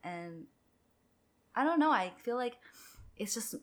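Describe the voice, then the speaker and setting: A woman's voice: fairly high pitch, animated, slow, fairly bright tone. A young adult woman hosting a podcast, asking long curious questions and relating them to her own life. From Canada, raised in Vancouver. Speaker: podcast host, hairstylist. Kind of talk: casual interview chat.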